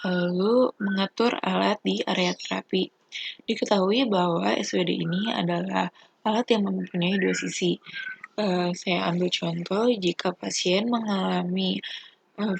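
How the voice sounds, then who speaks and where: Husky, low-pitched young woman presenting. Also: rough recording. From Indonesia. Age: young adult. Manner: halting, deliberate, step-by-step explaining. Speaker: physiotherapy student presenter. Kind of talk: instructional presentation.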